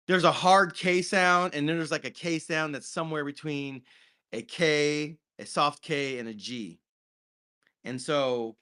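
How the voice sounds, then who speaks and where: A man's voice: fairly high pitch, a bit bright, smooth. A man, co-hosting a casual film review chat and explaining at length with many restarts. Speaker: podcast co-host.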